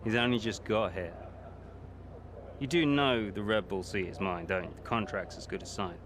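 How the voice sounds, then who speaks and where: A man playing a smug, goading racing driver in a video game, sneering and condescending with a gravelly creak. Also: on the low side, moderate pace, lightly acted. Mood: mocking. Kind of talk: cinematic video game dialogue. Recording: noisy outdoor background.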